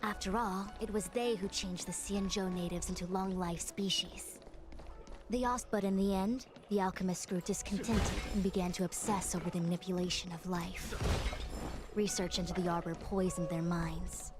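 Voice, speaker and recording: A woman's voice: fairly low pitch, fairly even intonation, moderate pace, music in the background, natural voice. An actress playing a poised, proud woman diviner and commander in a video game, speaking formally and precisely. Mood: solemn. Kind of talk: video game dialogue acting.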